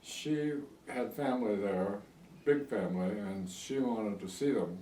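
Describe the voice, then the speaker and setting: A man in his eighties with an American accent, reminiscing in a halting, rambling way in a casual interview. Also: on the low side, warm tone, a little hiss or hum. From United States. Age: 80s. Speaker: oral history interviewee. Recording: echoey room, distant microphone.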